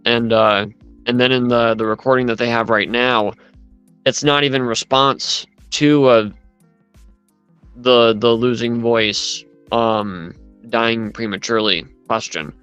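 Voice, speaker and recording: Guy in casual chat, smooth voice, lo-fi sound, goes on and on with side notes. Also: medium pitch, steady tone, unhurried, a bit bright, soft background music. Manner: rambling, retells events at length with many asides.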